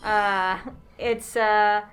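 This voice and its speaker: A woman's voice: mid-range voice, level tone, very slow, a bit bright. A woman chocolate maker being interviewed on a podcast, easygoing and polite, with dry humor. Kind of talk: casual interview chat.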